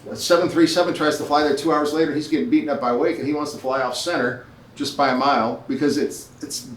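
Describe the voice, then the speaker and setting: A man's voice: fairly high pitch, fairly even intonation, fast talker. An older man, a retired air traffic controller, talking animatedly in a group discussion, repeating phrases for emphasis and checking for agreement. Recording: roomy, distant mic, faint noise.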